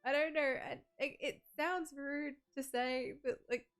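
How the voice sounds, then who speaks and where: A woman's voice: sits high, steady tone, measured pace, a bit bright. A woman chatting casually on a livestream, rambling with lots of "like" and "um" and laughing often as she reads out viewer messages.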